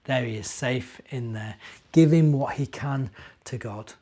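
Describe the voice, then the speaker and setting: A middle-aged man, a minister, telling stories to young children and chatting to them directly with gentle questions. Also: mid-range voice, unhurried. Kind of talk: children's storytelling and gentle chat.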